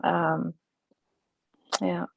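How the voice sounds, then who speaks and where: An older woman and community elder, speaking reflectively on a video call from a room that looks onto a forest, with many pauses and restarts. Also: lowish voice, little pitch movement, gravelly, noisy background. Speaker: interview guest, community elder.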